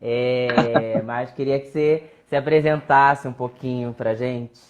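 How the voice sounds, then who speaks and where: A man hosting a casual live interview, friendly and chatty, often tagging questions with a quick filler. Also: mid-range voice, fairly even intonation, highs cut off. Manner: friendly, laughs often, says né a lot. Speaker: psychologist host of the live.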